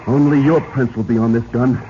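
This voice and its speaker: An actor playing a scheming, cold-blooded office subordinate in a 1940s radio drama. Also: male voice, mid-range voice, fairly even intonation, a little fast, natural voice. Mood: cold menace. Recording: dull, noisy 1940s radio recording.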